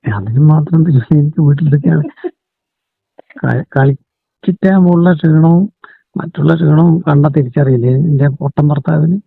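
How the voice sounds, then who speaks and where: Husky man on a tinny phone line. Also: fairly high pitch, normal speed. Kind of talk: casual intimate phone chat. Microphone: telephone call. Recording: tinny phone call, echoey.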